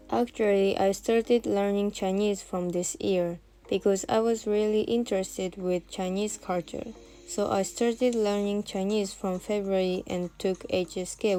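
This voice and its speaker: Soft-toned young woman reading. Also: medium pitch, low-quality recording. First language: Japanese. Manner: careful, measured reading with non-native phrasing. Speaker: student presenter. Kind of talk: reading a prepared presentation. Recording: faint music behind the voice. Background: faint background music.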